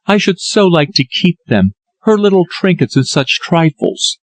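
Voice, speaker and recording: A man's voice: sits high, lively intonation, measured pace, dry recording, pitched above the performer's own voice. A man reading an audiobook, voicing a distressed young woman who speaks in an earnest, anxious, sorrowful way. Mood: tearful.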